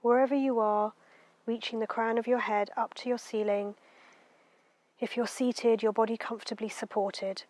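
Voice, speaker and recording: A woman guiding a meditation in a gentle, unhurried, soothing way, letting her phrases end softly. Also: a little high, steady tone, dry recording. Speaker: meditation guide. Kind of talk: guided meditation narration.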